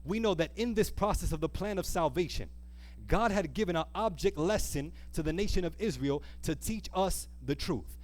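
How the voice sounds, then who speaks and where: A man's voice: high-pitched, expressive, normal speed. A man preaching an earnest sermon, reading scripture and putting rhetorical questions to listeners, stretching key phrases for emphasis. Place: live church service.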